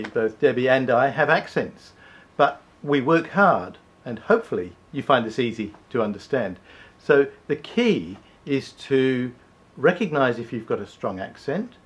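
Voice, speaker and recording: A man co-hosting a talk segment, speaking in a measured, deliberate way and slowing down to stress key points. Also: mid-range voice, expressive, highs cut off. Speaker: co-host. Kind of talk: scripted conversational presenting.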